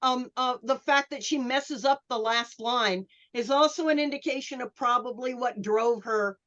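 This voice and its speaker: A woman with a fairly high voice talks on a casual panel. Her delivery is halting and full of ums. The sound is dull and lo-fi, like online call audio. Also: a bit bright.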